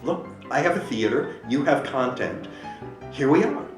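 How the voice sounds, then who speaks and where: A man's voice: mid-range voice, very animated, moderate pace, faint music behind. Man, from Minneapolis, theater owner, hesitant and reflective, distant mic, echoey. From Minneapolis, USA. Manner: thoughtful, searching for words. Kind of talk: reflective interview talk.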